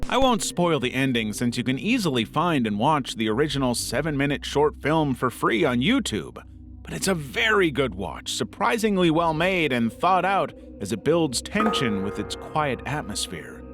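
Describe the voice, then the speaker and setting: A man's voice: fairly high pitch, sing-song, normal speed, a bit bright, soft background music. A man reading scripted narration in the role of an online video narrator. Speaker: narrator of internet video countdown.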